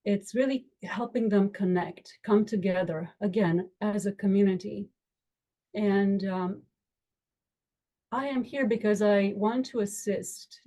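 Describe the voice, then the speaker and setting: A woman's voice: mid-range voice, warm tone, low-quality recording. A woman from Romania, an attorney, addressing a virtual community meetup in an earnest, uplifting way on a video call. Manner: earnest, uplifting, slow and deliberate with emphatic lists. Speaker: attorney and education board member.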